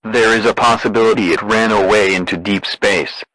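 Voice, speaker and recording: Deadpan robotic male alert announcer, low-pitched. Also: moderate pace, dry recording, low-quality recording. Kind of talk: scripted emergency broadcast announcement.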